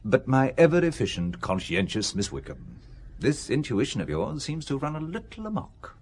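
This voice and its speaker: Middle-aged male boss, very varied pitch, dry and teasing, hesitant, audio drama delivery. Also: wildly swooping pitch, normal speed, darkish tone, slightly rough, exaggerated voice. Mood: wry, teasing. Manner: dry, bantering, hesitant ums.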